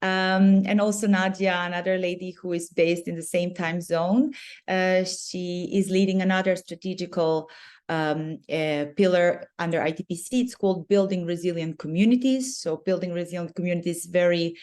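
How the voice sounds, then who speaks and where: A woman with a Serbian accent giving a webinar presentation over a video call, unhurried and often pausing mid-sentence to find her words. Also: fairly low pitch, fairly even intonation, a little mellow.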